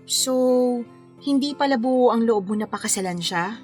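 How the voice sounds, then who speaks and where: High-voiced, frank young woman friend, acted. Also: normal speed, faint music behind, natural voice. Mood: astonished, probing. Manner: teasing, animated, colloquial. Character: young female wedding planner, playful, frank, concerned friend. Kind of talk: radio drama dialogue.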